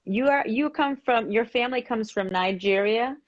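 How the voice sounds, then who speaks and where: A woman hosting a live interview show, chatty and quick to laugh. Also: fairly high pitch. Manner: bubbly, laughs often, quick reactions. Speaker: host. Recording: dull, lo-fi video call audio.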